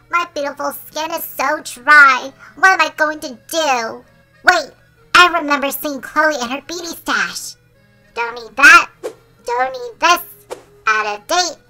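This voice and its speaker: A childlike little bee sprite for a cartoon. The voice is piercingly bright and high, taking its time with fussy, vain drama. Soft music plays underneath. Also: female voice, takes it slowly, full character voice. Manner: theatrical, fussy, cutesy. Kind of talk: comic dub cartoon acting.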